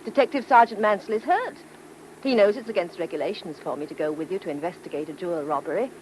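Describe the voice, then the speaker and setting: An actress playing a playful, needling, affectionate British newlywed wife in a vintage-style radio drama. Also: female voice, on the low side, fast talker, darkish tone, slight background noise, lightly acted, rough recording. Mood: arch, teasing. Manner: arch, coquettish, mock-sweet. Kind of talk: mid-20th-century British radio play acting.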